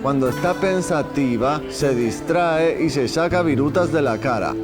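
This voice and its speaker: A man playing an elderly, courteous Spanish novelist who tells his life and reads a passage in a formal, measured way, in a scripted educational drama. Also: fairly high pitch, music in the background, natural voice. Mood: storytelling. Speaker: actor playing a historical writer.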